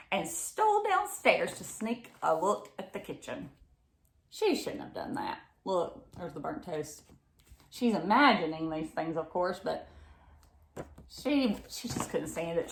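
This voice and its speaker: A woman reads a picture book aloud for children in a very lively, unhurried way. She speaks expressively and turns to her listeners with asides, in a room with noticeable echo. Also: fairly low pitch. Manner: expressive, exclaiming, asks listeners questions. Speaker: read-aloud storyteller.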